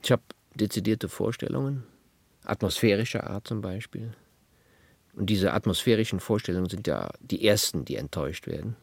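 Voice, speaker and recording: A man who directs radio drama, giving reflective interview statements in deliberate, articulate, formal wording. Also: on the low side, expressive, vocal fry, breathy edge, no echo.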